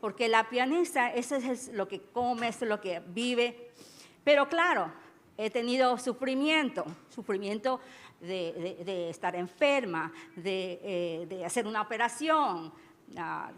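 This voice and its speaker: A woman from Ecuador, a judge, giving a conference talk in a halting manner with many restarts. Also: medium pitch. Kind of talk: conference speech, switching between English and Spanish. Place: large conference hall. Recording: reverberant conference hall.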